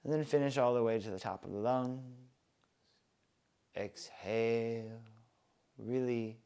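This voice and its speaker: A man softly leading a guided breathing meditation, with calm, soothing instructions. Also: mid-range voice, vocal fry, clear, resonant tone. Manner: slow, soft, calm and soothing guidance.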